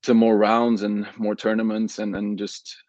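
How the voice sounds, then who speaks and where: A man's voice: lowish voice, completely flat delivery, unhurried, a little mellow, dry recording. A young man who grew up in Belgium, a soccer player answering interview questions casually with many restarts and fillers. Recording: dull lo-fi remote audio.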